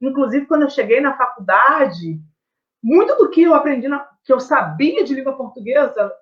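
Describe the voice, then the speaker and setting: A man's voice: very high voice, expressive, normal speed, highs cut off. A man with a Brazilian accent, a teacher explaining his research in conversation, reflective and emphatic, often adding tag questions.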